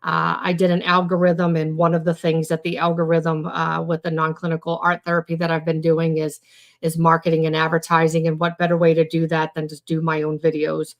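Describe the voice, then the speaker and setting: A woman hosting a weekly live stream as a trauma coach, her voice hoarse and raspy after days of recording, rambling with many fillers and restarts. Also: sits low, completely flat delivery, brisk. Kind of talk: informal live-stream teaching.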